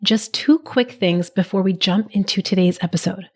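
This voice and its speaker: A woman in her thirties hosting a solo podcast, her voice a little raspy from a cold, speaking in a gentle, encouraging coaching manner. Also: medium pitch, moderate pace. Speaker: podcast host.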